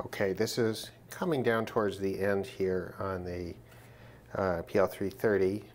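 A man's voice: low voice, fairly even intonation, measured pace, a little hiss or hum. A man presenting an unscripted hands-on demonstration in a casual, matter-of-fact way, thinking aloud as he goes. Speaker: presenter of a radio gear test video. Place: indoors at a work desk.

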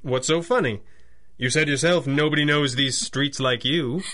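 An actor playing a wealthy young 1930s gentleman in a radio drama, formal and polite with a dry wit. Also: on the high side, normal speed, natural voice. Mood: composed, persuasive. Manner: formal, courteous, dryly witty.

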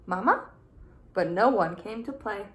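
A young adult woman, a teacher, reading a picture book aloud in a deliberate, expressive way for small children. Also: medium pitch. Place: small room indoors.

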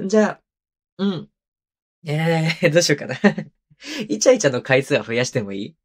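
A man playing a tender, clingy, teasing young husband in an intimate ASMR voice drama, speaking softly and playfully close to the microphone. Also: on the high side, big pitch swings, moderate pace. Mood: bashful, happy. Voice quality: soft, breathy. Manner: murmuring, playful, coaxing. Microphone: close microphone, binaural.

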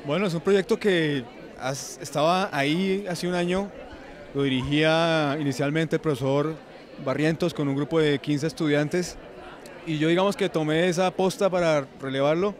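A man's voice: a little high, steady tone, normal speed. Thoughtful male professor in an interview. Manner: thoughtful, with hesitations.

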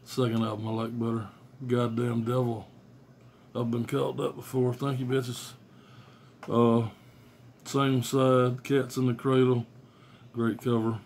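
A man's voice: medium pitch, level tone, unhurried, darkish tone, a little hiss or hum. A middle-aged man rambling casually and bluntly about his cassette collection, swearing freely, filmed on a phone at arm's length in a small room. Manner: blunt, profane, offhand. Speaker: music collector vlogger. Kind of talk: casual rambling show-and-tell. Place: small bedroom indoors. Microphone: phone camera at arm's length.

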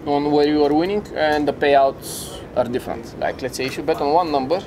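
A man in his thirties, a casino host, patiently explaining a table game in halting, non-native phrasing. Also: on the high side, a crowd in the background, rough recording. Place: casino floor on a cruise ship.

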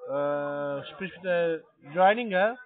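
A man makes a halting phone call in simple, repeated phrases. He speaks slowly at a slightly high pitch over a tinny line. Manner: repetitive, broken phrasing. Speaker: phone caller.

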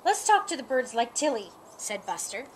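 A woman's voice: a little high, a little hiss or hum. A middle-aged woman reading a children's picture book aloud outdoors, raising her voice for the animal characters. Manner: slow, lively, lifts her voice for animal lines. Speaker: storyteller. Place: outdoors in a sunny yard. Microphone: camera a few feet away.

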